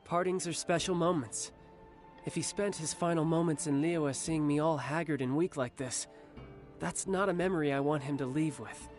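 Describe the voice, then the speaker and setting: A man voicing a worn-out young warrior who talks in a soft, weary, wry way, for a video game. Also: a little high, moderate pace, soft background music, natural voice. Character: young male warrior, weary and weakened, confident.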